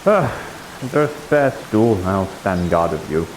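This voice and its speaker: A man's voice: sits low, expressive, unhurried, slight background noise, rough recording. A man playing a courteous, earnest guard with formal manners in improvised fantasy roleplay in a game. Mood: courteous, curious.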